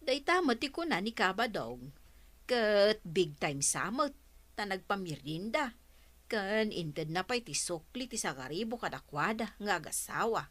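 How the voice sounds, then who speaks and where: A woman's voice: medium pitch, very animated, measured pace, fairly bright tone. A woman with an Ilocano accent playing a nosy, frank village storekeeper in a radio comedy drama. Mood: gossipy.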